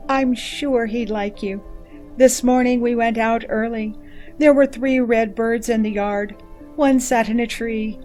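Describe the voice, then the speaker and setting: A caring female ship's computer played by a woman for a science fiction audio drama. Her voice is a little high, with gentle, measured delivery and faint music behind it. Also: close to an everyday voice, rough recording. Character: caring female ship computer reading out a mother's message. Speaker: voice actor. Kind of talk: audio drama, reading a letter aloud.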